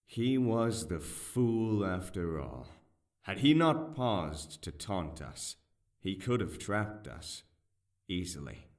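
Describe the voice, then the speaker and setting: An actor playing a cautious, earnest conspirator and loyal companion in a fantasy audio drama. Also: male voice, low voice, very animated, slow, a little mellow, full character voice. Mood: relieved, cautious.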